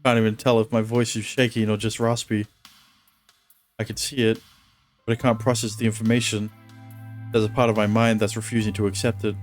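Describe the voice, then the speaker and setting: A male streamer who reads visual novel narration aloud in a steady tone and chats casually between lines. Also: on the low side, brisk, pauses often, faint music behind.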